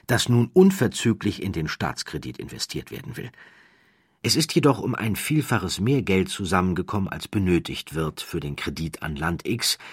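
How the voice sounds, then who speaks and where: A man with a standard German accent narrating a scripted documentary story in measured, deliberate phrases, with dramatic emphasis and a wry undertone. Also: low-pitched, a bit bright, breathy edge, dry recording, clean studio sound.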